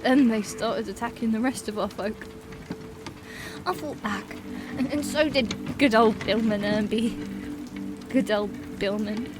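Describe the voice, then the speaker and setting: A woman playing a frightened but plucky young female halfling prisoner in a fantasy audiobook, talking in a folksy, halting way. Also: a little high, noisy background, lightly acted. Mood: haunted. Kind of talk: dramatized fantasy audiobook acting. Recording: music and rain sounds behind.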